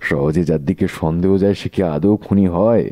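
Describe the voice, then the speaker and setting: A man playing a sharp detective in a Bengali audio drama, speaking with rhetorical, theatrical questioning. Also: low voice, no echo, studio quality, heavily acted. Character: sharp, theatrical male detective unmasking a killer.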